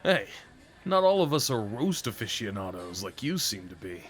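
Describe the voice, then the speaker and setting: A man's voice: fairly low pitch, very animated, moderate pace. A young man acting a shy, sweet, apologetic date in an ASMR roleplay, talking hesitantly to the listener. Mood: playful, teasing. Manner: hesitant, apologetic, rambling, sighs and nervous chuckles.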